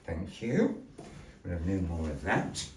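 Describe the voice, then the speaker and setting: Male stage host, playful showman patter, animated pitch, roomy distant sound. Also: unhurried, background noise. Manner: showy, grandly polite, playful. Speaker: puppet show host. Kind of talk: theatrical stage patter with a puppet fortune-teller. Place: gallery room before a live audience. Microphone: distant room mic. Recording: echoey room heard from afar.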